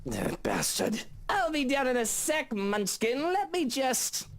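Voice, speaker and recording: A man's voice: very high-pitched, wildly swooping pitch, slow pace, pitched above the performer's own voice, heavily acted. A man voicing a sleepy, sarcastic young man who bosses his staff around with exasperated remarks.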